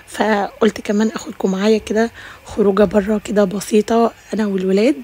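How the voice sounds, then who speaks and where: Rapid-fire Egyptian woman vlogger. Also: mid-range voice, darkish tone, breathy edge. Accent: Egyptian Arabic. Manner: affectionate, addresses viewers as dear sisters. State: slightly tired voice. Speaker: home routine vlogger. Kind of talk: casual voice-over narration.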